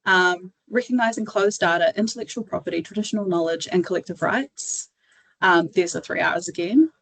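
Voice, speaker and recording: A woman's voice: fairly low pitch, fairly quick, vocal fry, fairly bright tone, poor audio. A woman with a New Zealand accent giving an informal slide presentation as a webinar presenter.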